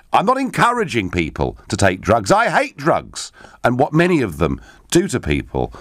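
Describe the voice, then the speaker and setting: A man's voice: sits low, no echo. A man, hosting a live radio phone-in from a studio and reading out listener messages with emphatic delivery. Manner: emphatic, swooping delivery, interjects with questions. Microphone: studio microphone with headphones.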